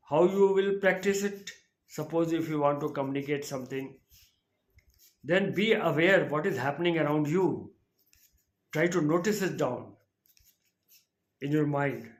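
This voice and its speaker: An older man from India with an Indian English accent, speaking English as a second language, giving an earnest motivational talk on his podcast and often repeating himself. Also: fairly high pitch. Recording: echoey room.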